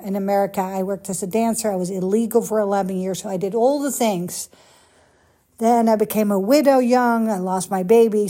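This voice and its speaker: Dutch woman with a mellow voice. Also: mid-range voice. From Netherlands. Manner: chatty, encouraging, direct address to listeners. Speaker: podcast host. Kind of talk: casual solo podcast monologue.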